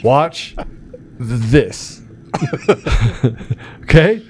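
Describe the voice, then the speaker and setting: A middle-aged man telling personal stories in a casual, colloquial talk-show chat, animated in his delivery. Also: medium pitch, extremely slow, slight background noise. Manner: animated, colloquial storytelling with sound effects.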